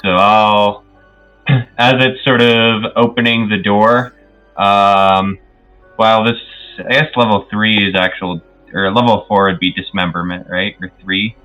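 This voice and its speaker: A man's voice: on the low side, steady tone, a little slow, bright, forceful tone, faint music behind, low-quality recording. A man talking casually as a player in a tabletop roleplaying game, tentatively working out his actions aloud.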